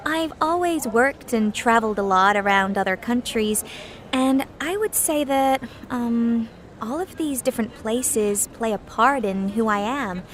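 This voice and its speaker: A woman's voice: fairly high pitch, a bit bright, a little hiss or hum. A woman from Korea, speaking in an easygoing, reflective way as an interviewee. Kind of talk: scripted course-book interview.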